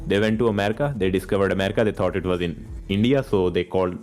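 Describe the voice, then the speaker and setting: Low-voiced man explaining, full of likes. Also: a little mellow. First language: not English. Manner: measured, explains with many likes and basically. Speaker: livestream co-host. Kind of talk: casual live stream chat.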